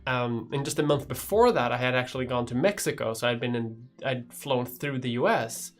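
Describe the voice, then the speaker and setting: A woman's voice: extremely low pitch, moderate pace. A woman in her thirties with a Swedish accent, chatting casually on a livestream with lots of restarts and casual swearing. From Sweden. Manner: rambling, swears casually, frequent restarts and laughs. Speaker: YouTuber hosting a livestream. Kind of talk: casual livestream chat reading chat questions.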